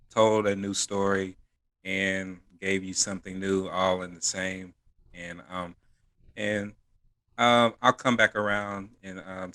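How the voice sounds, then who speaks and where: A man with a low but forceful, bright voice co-hosts a podcast. His delivery is monotone and halting as he gives his casual opinions. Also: no room sound. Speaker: podcast co-host. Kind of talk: casual film discussion.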